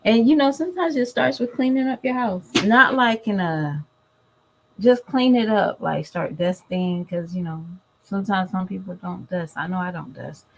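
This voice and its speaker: A woman's voice: medium pitch, normal speed, creaky, a little hiss or hum, low-quality recording. A tired, congested woman chatting casually to her viewers in a vlog. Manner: chatty, addresses viewers directly. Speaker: vlogger. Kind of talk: casual chat to camera.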